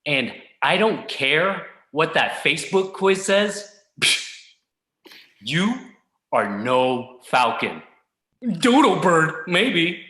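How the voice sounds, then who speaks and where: A man's voice: a little high, very animated, sharp tone, echoey room, exaggerated voice. A man playing a smug, condescending young yuppie boss in a comedy table read. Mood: mocking. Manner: condescending, drawn-out delivery.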